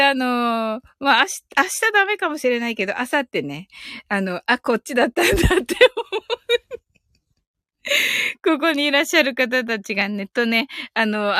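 A woman's voice: fairly high pitch, fairly bright tone, no room sound, clean studio sound. A woman hosting a casual live audio stream, chatting politely with her listeners and often breaking into giggles.

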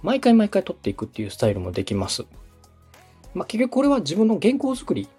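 Male podcast coach: quick, lively pitch swings, slightly husky, polite explainer, light music behind. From Osaka, Japan. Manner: explanatory, polite, organized step-by-step advice. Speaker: podcast host and radio director advising a beginner.

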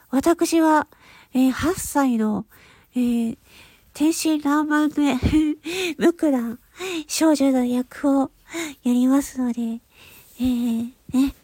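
A woman's voice: high-pitched, warm tone, no echo. A woman hosting her own audio channel, making a casual but polite announcement to listeners in hesitant phrases. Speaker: channel host and voice actor.

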